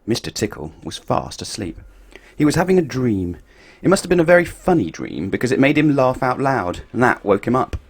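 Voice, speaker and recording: A man reading a picture book aloud to children, playful and expressive, swinging his voice up and down for effect. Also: brisk, breathy edge. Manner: expressive, playful storytelling with big swings in pitch and loudness.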